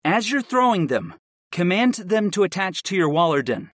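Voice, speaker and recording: Man playing a firm, animated professor. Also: sits high, normal speed, no room sound, studio quality, voice pushed up. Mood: instructing. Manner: instructive, firm. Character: firm male professor of summoning, stern but caring. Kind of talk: audiobook character acting.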